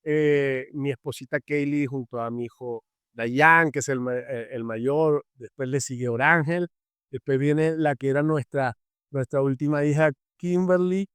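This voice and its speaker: A man's voice: mid-range voice, fairly even intonation, measured pace, clear, resonant tone, no room sound. Venezuelan man hosting a faith podcast. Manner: warm welcoming, many fillers and restarts. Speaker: podcast co-host.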